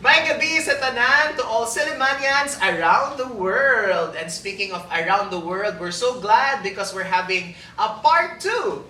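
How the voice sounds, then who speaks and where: A woman's voice: fairly high pitch, expressive, unhurried, hardly any pauses, sharp tone. Upbeat woman hosting, roomy sound. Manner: upbeat, chatty, laughs often. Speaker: co-host. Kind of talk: casual talk show hosting. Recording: roomy, distant mic, faint noise.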